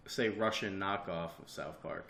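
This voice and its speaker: A man's voice: low-pitched, measured pace, fairly bright tone. A young man co-hosting a podcast in casual chat, cracking goofy jokes. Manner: jokey, goofy asides. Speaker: podcast co-host. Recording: echoey room with faint noise.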